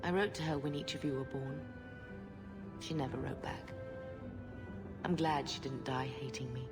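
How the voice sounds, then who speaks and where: A woman's voice: rumbling low, normal speed, over loud music. An actress playing a proud, careworn middle-aged mother of noble birth in a video game, dignified and insistent. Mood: bitter, wistful.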